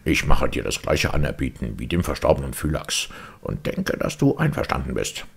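Casting: man playing sly thieving marten for storybook, wheedling, chatty, lively swooping pitch. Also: moderate pace, creaky, breathy edge, full character voice. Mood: sly, persuasive. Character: smooth-talking thieving male marten, sly and chummy.

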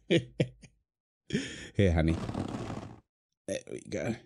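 A man playing a playful, doting young husband and father in a soft, tender ASMR roleplay, teasing as he talks. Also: low-pitched, wildly swooping pitch, very slow, gravelly, a little hiss or hum, full character voice. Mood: reassuring, affectionate. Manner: teasing, tender, chuckles often while talking. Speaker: audio roleplay performer. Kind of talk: ASMR boyfriend roleplay, one-sided dialogue.

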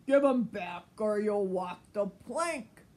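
A woman voicing a boisterous, commanding male pirate captain in a storybook, with drawn-out exclamations. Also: mid-range voice, animated, a little mellow.

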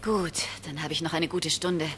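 An actress playing a flustered, hurried young secretary in a German audio drama, speaking politely. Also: female voice, deep voice, steady tone, a bit bright. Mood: hurried, friendly.

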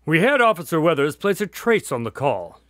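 Male actor as genial doctor, lightly high voice, dry sound, teasing, radio drama. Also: big pitch swings, a little fast, a bit bright, dry recording. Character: genial male doctor telling his case stories.

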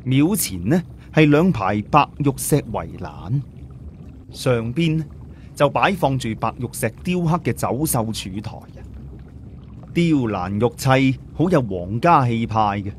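A man's voice: mid-range voice, very animated, a little slow, a little hiss or hum. A man with a Cantonese accent narrating an audiobook story in a dramatic, scripted storytelling style.

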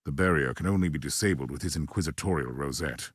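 Grim warrior inquisitor in a game cutscene, crazy deep voice, solemn n commanding, very formal. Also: male voice, fast, breathy edge, no echo, clean studio sound. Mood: solemn resolve. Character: grim, resolute male inquisitor, battle-hardened warrior of the Emperor. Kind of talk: video game cutscene acting.

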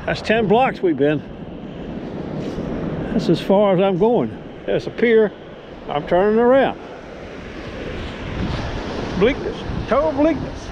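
A man's voice: sits high, very animated, slow pace, long pauses. A man mumbling a half-to-himself running commentary while he searches a beach with a metal detector, recorded on a body-worn action camera. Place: windy open beach by the surf. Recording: dull lo-fi sound with loud wind and surf. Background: wind and crashing waves.

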